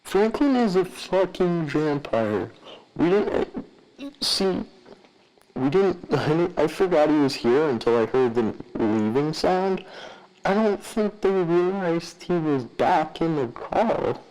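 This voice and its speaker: Slow-talking, clear-voiced crude man. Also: a little high, expressive, a little mellow, low-quality recording. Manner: crude, swearing, deliberate. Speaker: podcast cast member. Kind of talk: casual comedic commentary.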